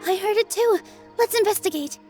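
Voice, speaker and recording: Very high-voiced, gentle young dancer. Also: female voice, fairly quick, music in the background. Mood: alert. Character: gentle, brave young dancer woman, protective and sincere. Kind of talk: video game voice acting.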